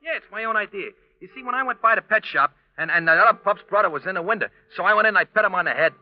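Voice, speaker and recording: An actor playing a scatterbrained, good-hearted American cab driver who stammers and repeats himself as comic relief in an old radio show. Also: male voice, high voice, animated, racing. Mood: sheepish, excited. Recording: dull vintage radio recording.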